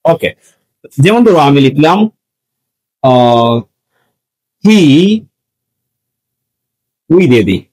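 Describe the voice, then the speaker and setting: A man's voice: mid-range voice, very animated, drawn-out words, long pauses, darkish tone, low-quality recording. A man teaching students in an explanatory, step-by-step manner, repeating key points as he goes. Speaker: English grammar teacher. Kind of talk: explanatory lesson addressed to students.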